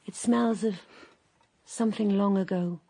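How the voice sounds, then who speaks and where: Calm woman narrating a radio drama. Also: mid-range voice, a little slow.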